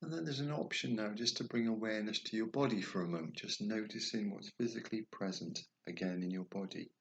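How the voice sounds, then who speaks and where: A man guiding a meditation, speaking slowly and softly, at times dropping toward a whisper. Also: fairly low pitch, gravelly. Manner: slow, soft and soothing, trailing off toward a whisper. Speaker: meditation guide. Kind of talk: guided meditation.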